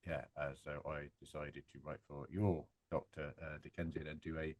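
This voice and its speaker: A man chatting casually as a panel guest, with a jokey, self-deprecating, animated manner. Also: very deep voice, moderate pace, dry recording, studio quality. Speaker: audio drama writer and panel guest. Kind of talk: casual group chat.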